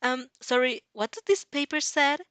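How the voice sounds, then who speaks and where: A woman's voice: high-pitched, normal speed, fairly bright tone, no room sound, pitched above the performer's own voice. Cheeky, mock-polite student played by a woman, teasing, dry close recording. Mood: taunting. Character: cocky, teasing student who needles her rival. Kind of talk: amateur audio fanfic acting.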